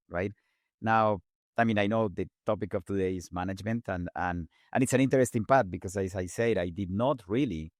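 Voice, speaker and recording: A man in his forties with a Colombian Spanish accent talks fast and eagerly, often restarting phrases. His voice sits low. The recording is dry, with no room sound. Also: no echo. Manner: fast-talking, animated, repeats words while restarting. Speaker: guest engineer. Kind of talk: casual interview talk.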